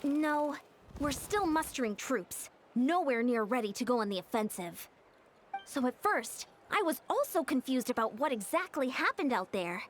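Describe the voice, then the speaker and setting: A woman playing a young female warrior in a video game, frank and dutiful, explaining things in an earnest, measured, formal way. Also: sits high, sing-song, slight background noise. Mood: earnest, serious. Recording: faint music behind the voice.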